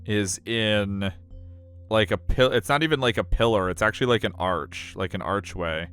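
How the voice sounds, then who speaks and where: A man chatting casually as a game streamer, halting often as he searches for words and leaning on filler words. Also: on the low side, a bit bright, clean tone. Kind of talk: casual chat. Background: music.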